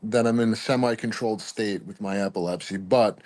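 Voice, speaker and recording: A man's voice: on the low side, a little fast, darkish tone. A man in a casual group chat, speaking in a thoughtful, earnest way.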